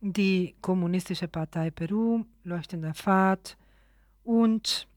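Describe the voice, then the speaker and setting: A woman presenting radio news, reading a report haltingly with stumbles and pauses. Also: lowish voice, a little mellow, no room sound, studio quality. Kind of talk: read-out human rights news report.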